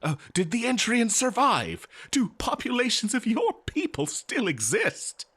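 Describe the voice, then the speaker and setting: A man playing an eager, kindly scholar in an audio roleplay, speaking politely and haltingly to a listener. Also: extremely high pitch, huge pitch swings, a bit bright, slightly rough, heavily acted. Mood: amazed, excited. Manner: halting, flustered, polite, exclaiming. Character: eager, scholarly, kindly male researcher of a lost species. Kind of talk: scripted audio roleplay, one-sided dialogue.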